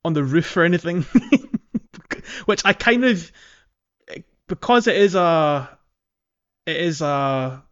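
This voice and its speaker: A man's voice: fairly high pitch, takes it slowly, fairly bright tone, clean tone. Man chatting on a dull lo-fi gaming podcast. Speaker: podcast host. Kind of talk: casual gaming podcast chat. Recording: dull lo-fi voice recording.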